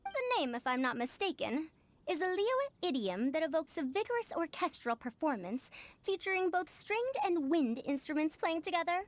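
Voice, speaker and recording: A woman's voice: very high voice, big pitch swings, normal speed. A woman playing a refined, penny-pinching merchant and scholar in a video game, speaking in an elegant, articulate and theatrical way. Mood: appreciative, erudite.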